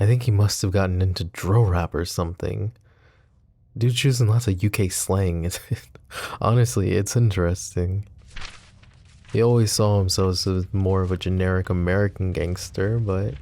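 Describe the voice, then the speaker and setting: Brooding mafia boss, a man in the role, deep and unhurried, close-mic ASMR, sweary and protective. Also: slight background noise. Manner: calm, confiding, casually profane. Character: possessive, protective adult male mafia boss. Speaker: voice actor. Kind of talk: boyfriend ASMR roleplay.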